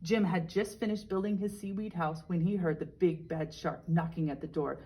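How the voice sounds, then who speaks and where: A woman's voice: fairly low pitch, steady tone, moderate pace, mellow, a little hiss or hum, poor audio. A woman reading a children's story aloud like a teacher, expressively and with chatty asides.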